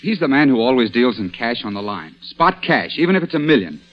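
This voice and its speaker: An excitable young man played by an actor, stubborn and emphatic, with a mid-pitched voice. He sounds like classic radio drama on a dull old recording. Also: a little fast, natural voice. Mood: exasperated. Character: agitated, stubborn young nephew, insistent man. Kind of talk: vintage radio drama acting.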